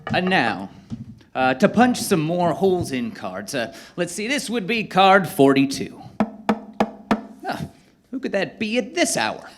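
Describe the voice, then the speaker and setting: An actor playing an absent-minded, polite Victorian inventor, muttering hesitantly in a radio drama. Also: male voice, high voice, big pitch swings, unhurried, soft background music. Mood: preoccupied, polite. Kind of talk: radio docudrama parody acting.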